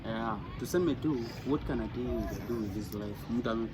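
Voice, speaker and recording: A Kenyan man chatting casually in English and Swahili in hesitant, broken-up phrases, recorded in dull sound amid loud outdoor noise. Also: mid-range voice, normal speed. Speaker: guest, friend of the host.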